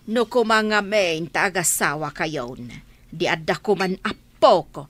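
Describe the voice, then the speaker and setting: A woman's voice: on the low side, measured pace, dry recording. A woman acting the part of an aging mother who pleads for grandchildren in an Ilocano radio drama. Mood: pleading.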